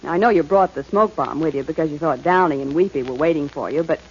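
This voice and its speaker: A woman's voice: low-pitched, quick pace, husky. An actress playing a trusting, affectionate and inquisitive woman in 1940s radio drama style. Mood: curious. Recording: muffled, hissy old 1940s radio broadcast.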